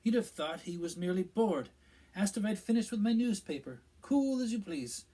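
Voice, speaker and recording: A fussy, pompous middle-aged minister from a storybook, as a male narrator puts him on. His voice sits higher than the reader's own and carries a lot of self-importance. He is evasive under the bluster. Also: lively intonation, moderate pace, dark tone. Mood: solemn, pompous.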